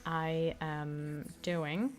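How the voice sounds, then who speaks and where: A woman streamer chatting casually with her viewers, rambling with many fillers, her throat still slightly raspy from an illness. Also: deep voice, flat delivery, extremely slow. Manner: rambling, full of fillers and restarts. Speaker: streamer and audio creator. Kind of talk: casual livestream chat.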